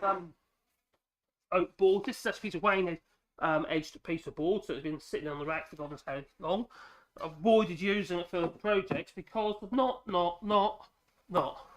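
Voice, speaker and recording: A man's voice: sits high, fairly bright tone. A middle-aged man with a head cold, hosting a woodworking vlog in a rambling, chatty way with self-deprecating jokes, recorded in his home workshop on a mic hung from the ceiling. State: congested with a cold. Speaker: woodworking channel host. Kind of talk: casual workshop vlog chat. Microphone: microphone hung from a ceiling clamp.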